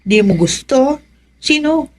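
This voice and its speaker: Good-natured, trusting young wife. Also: female voice, high-pitched, expressive, slow pace, heavily acted. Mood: innocent curiosity. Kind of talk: Tagalog radio drama acting.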